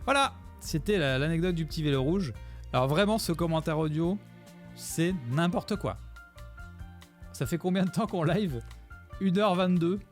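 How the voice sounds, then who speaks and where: A man's voice: fairly high pitch, moderate pace, long pauses, soft background music. A man streaming live, commenting on his own gameplay video with a chat, rambling and joking as he thanks his followers.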